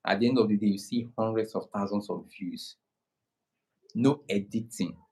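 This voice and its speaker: A man from Nigeria with a Nigerian accent, speaking slowly and calmly in casual conversation, often restarting his sentences. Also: on the low side, husky. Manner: slow, unhurried, many restarts. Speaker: guest creator.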